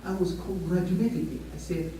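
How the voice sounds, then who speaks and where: A man's voice: high voice, a little fast, warm tone. A man with an Ethiopian accent giving an earnest, rallying public speech, repeating points for stress. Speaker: speaker at a community meeting. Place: large echoey meeting hall. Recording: echoey hall, mic far off, faint hiss.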